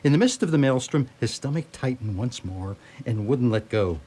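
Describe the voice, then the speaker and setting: A man's voice: mid-range voice, animated, normal speed, dark tone, slightly rough. A man reading scripted story narration for radio theatre, with a wry, literary storytelling manner. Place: live radio theatre performance.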